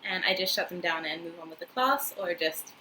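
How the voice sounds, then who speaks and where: A woman's voice: fairly low pitch, brisk, pushed and bright, slight background noise, rough recording. A woman in her twenties with a New Zealand accent, chatting to the camera in a casual, friendly way with many ums and uhs, recorded close up in a small room. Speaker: vlogger, English teacher in Japan. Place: small room indoors, wooden floor, paper screens.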